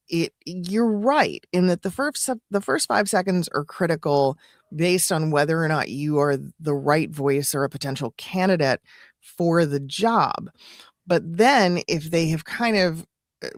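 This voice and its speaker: A woman's voice: on the low side, animated, unhurried, no room sound, clean studio sound. A woman co-hosting a live stream, explaining voiceover practice in a casual, conversational way. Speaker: co-host, voiceover coach.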